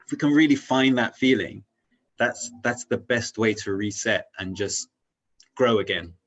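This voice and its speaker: A man's voice: on the low side, a little slow, fairly bright tone, lo-fi sound. A man chatting as an interview guest in a casual, reflective way, earnest and rambling as he works through ideas. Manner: earnest, rambling, philosophical, often checking in with "you know".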